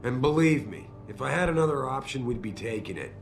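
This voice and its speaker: An actor playing a commanding middle-aged corporate boss who gives blunt, clipped orders in a game cutscene. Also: male voice, mid-range voice, animated, fairly quick, faint music behind, lightly acted. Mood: stern. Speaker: video game cutscene character. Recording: game cutscene with loud score.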